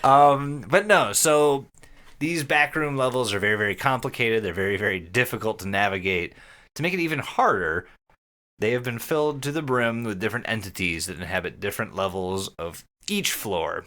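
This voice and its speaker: A man's voice: on the low side, normal speed, pushed and bright. A man co-hosting a casual comedy podcast, joking and swearing freely as he talks. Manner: profane, joking. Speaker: podcast co-host.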